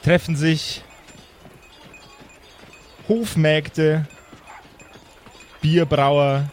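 A man's voice: a little high, fairly even intonation, very slow, a little hiss or hum. A man narrating an improvised tabletop game as game master, with a theatrical storytelling manner.

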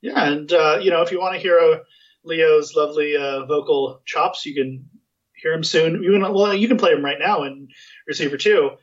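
A man's voice: on the high side, fairly even intonation, moderate pace. A man hosting a podcast, chatting casually and rambling with lots of fillers and restarts. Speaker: podcast host. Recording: muffled lo-fi sound.

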